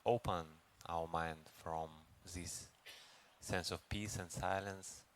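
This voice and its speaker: A man leads a guided meditation as a teacher, speaking gently and unhurriedly with long pauses. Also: sits low, steady tone. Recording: noisy recording with ambient background sound.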